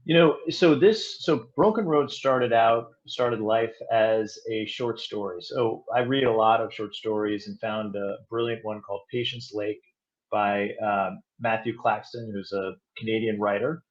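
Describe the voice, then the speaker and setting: Male podcast guest, lowish pitch, halting conversational answers, slightly muffled audio. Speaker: guest, audio drama producer. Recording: slightly dull, band-limited sound.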